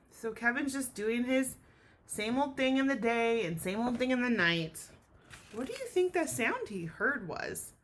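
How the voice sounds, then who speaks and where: A woman teacher giving an expressive children's read-aloud lesson, pausing to ask questions and explain. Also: on the high side.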